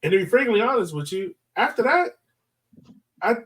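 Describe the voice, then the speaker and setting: Higher-voiced man chatting sports, slightly off-mic. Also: big pitch swings, normal speed, a bit bright, far from the mic. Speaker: podcast co-host, soccer fan. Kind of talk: casual sports analysis chat.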